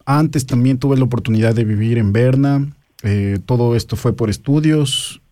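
A young Ecuadorian man speaks as an interview guest in a soft, mellow voice at medium pitch. He explains himself casually, a bit hesitantly, in a dry studio recording. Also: normal speed, dry recording.

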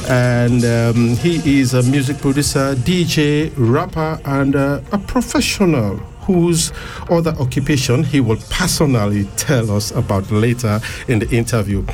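A man hosting a live radio interview from a studio, chatty, laughing often and filling pauses with ums. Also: mid-range voice, a little mellow, soft background music. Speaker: radio DJ host.

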